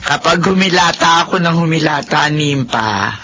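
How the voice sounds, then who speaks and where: Bright-voiced, fussy old Filipino grandmother. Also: low voice, animated, normal speed. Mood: fussing. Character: fussy, hardworking old grandmother, bustling about cleaning. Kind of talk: Filipino radio drama acting. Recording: muffled, slightly noisy radio broadcast.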